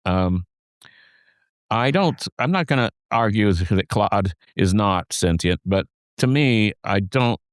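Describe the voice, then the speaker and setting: A man with a low voice in casual podcast conversation, talking haltingly with many restarts and fillers. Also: animated, dry recording. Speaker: podcast co-host.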